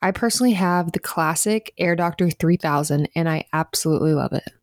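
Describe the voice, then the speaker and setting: A woman's voice: fairly low pitch, a little fast, no echo, studio quality. A woman podcast host reading a scripted sponsor spot in a chatty, personal style.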